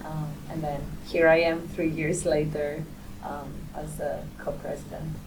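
A woman's voice: low-pitched, little pitch movement, unhurried, gravelly, recorded from a distance, background noise. A young woman from Greece, a final-year student, answering interview questions hesitantly with many ums. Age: young adult. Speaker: student guest. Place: radio studio.

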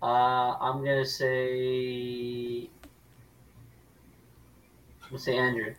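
Male panelist, mid pitch, terse deadpan teasing, relaxed conversational style. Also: very slow, rough recording. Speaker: panel guest. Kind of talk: casual chat.

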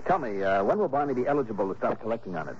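An actor playing an easygoing, shrewd insurance investigator in an early 1960s radio drama, with a probing, wry, conversational manner. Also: male voice, medium pitch, very animated, rapid-fire, close to an everyday voice. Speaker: lead actor and narrator. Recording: muffled, noisy old radio broadcast.

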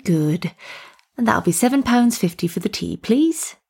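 A woman puts on an eccentric village tea lady for a storybook. She is chirpy, motherly and a little secretive, gently asking questions. The voice is husky with plenty of creak. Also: mid-range voice, lively intonation, a little slow, pitched above the performer's own voice, exaggerated voice. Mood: friendly, brisk. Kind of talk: acted storybook dialogue.

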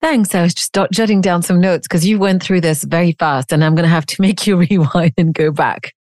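A woman's voice: on the low side, a little fast, darkish tone, no echo, studio quality. A woman hosting a podcast, reading a scripted intro and then chatting with a guest in a curious, chatty way, laughing at herself now and then. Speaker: podcast host. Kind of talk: scripted intro then casual interview chat.

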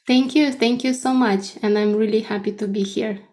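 A woman's voice: a little high, steady tone, moderate pace, darkish tone. A woman in her thirties with a Russian accent, answering conversationally as a podcast guest and often checking in as she explains. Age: 30s.

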